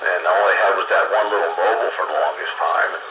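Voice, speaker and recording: A man's voice: deep voice, quick pace. A middle-aged man chatting casually and bluntly, rambling through his opinions with emphasis. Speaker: host of a hobby radio round-table. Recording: muffled, very noisy, echoing radio link.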